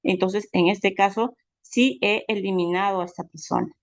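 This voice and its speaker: A woman's voice: mid-range voice, normal speed, dry recording. A woman teaching a family history class over a video call, speaking in a friendly way with many fillers. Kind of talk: online class, casual explanation.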